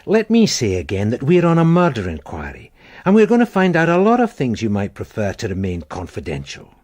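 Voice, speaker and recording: An actor playing a blunt, dogged detective sergeant in a radio crime drama, probing and plain-spoken. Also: male voice, mid-range voice, wildly swooping pitch, brisk, a little mellow. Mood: pressing, stern. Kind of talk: naturalistic radio drama dialogue.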